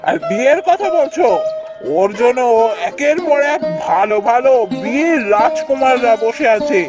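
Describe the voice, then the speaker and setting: High-voiced, emphatic king. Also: lively intonation, a little slow, loud music behind the voice. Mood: indignant. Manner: emphatic, authoritative. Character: proud, firm fairytale king, fond father. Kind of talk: Bengali fairytale cartoon voice acting.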